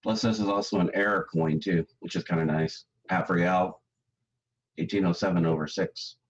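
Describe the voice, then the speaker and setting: A man with a very deep voice, hoarse and fading from a cold. He speaks in a low-key, matter-of-fact way. His audio comes through a video call and sounds dull and lo-fi. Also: fairly even intonation, normal speed. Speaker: guest collector. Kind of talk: casual chat.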